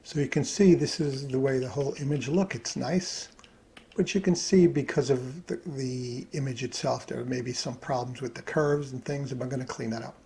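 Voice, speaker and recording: A man with a gravelly, creaky voice talks through a casual screen-recorded tutorial. He thinks aloud as he works. There is light background noise with some keyboard clicking behind him. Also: mid-range voice, moderate pace, dark tone. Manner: thinks aloud while working at computer. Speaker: hobbyist presenter.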